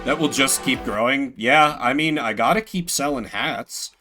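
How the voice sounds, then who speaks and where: A man's voice: medium pitch, normal speed, over music. A man giving casual, wry, joking commentary on a game he plays, reading its text aloud as he goes. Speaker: video game streamer.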